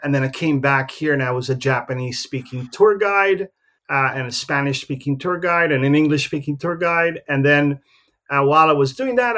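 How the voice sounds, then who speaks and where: A man in his fifties who grew up in the Philippines, a photographer talking about his career in a relaxed, rambling way with many fillers. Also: medium pitch. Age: 50s. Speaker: guest, professional photographer. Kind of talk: casual interview talk.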